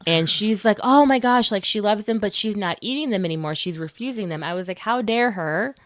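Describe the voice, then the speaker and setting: A woman's voice: medium pitch, a little fast, no room sound, clean studio sound. A woman hosting a podcast in a casual, informal storytelling way, acting out quotes as she goes. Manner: animated quoting, swearing, informal. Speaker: podcast host.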